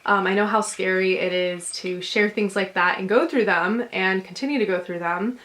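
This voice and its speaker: Casual host, young woman, talks loose and gentle with plenty of filler. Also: mid-range voice, normal speed. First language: English. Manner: chatty, filler-heavy, gently reassuring. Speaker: channel host. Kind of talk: casual chat, reading subscriber stories.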